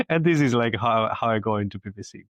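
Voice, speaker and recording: A man in his thirties from Italy, speaking English with an Italian accent touched by British, talking haltingly with many restarts as a podcast guest. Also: mid-range voice, wildly swooping pitch, fairly bright tone, no echo. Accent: Italian with British influence. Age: 30s. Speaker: podcast guest, agency COO. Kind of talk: casual interview talk.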